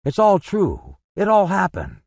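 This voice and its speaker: A man's voice: on the high side, huge pitch swings, a little slow, dark tone, no room sound, clean studio sound, full character voice. A man voicing an old Victorian miser, repentant and fervent, in an exclamatory, swooping storybook performance. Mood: relieved, excited. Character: elated repentant old miser, giddy, pleading, Victorian Englishman.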